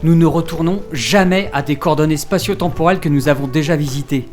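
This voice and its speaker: Guy acting gloomy time traveller dude, slightly high voice, exasperated, hesitant, music underneath, audio drama. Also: a little fast, darkish tone, lightly acted. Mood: wry.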